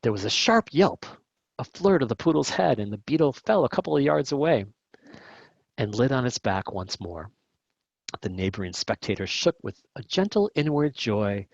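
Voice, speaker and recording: A man reading a classic story aloud as a narrator, in measured, deliberate short phrases. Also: mid-range voice, creaky, slightly rough, no room sound, lo-fi sound. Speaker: volunteer audiobook reader.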